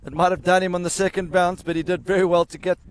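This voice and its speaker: Higher-voiced man commentating cricket. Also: level tone, fast, background noise. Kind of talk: live amateur match commentary, conversational. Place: outdoors at a cricket ground.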